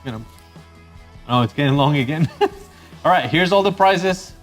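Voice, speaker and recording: A man from Costa Rica hosting his photography channel in a chatty, upbeat way, with bursts of excitement. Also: a little high, measured pace, soft background music. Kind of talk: casual YouTube presenting.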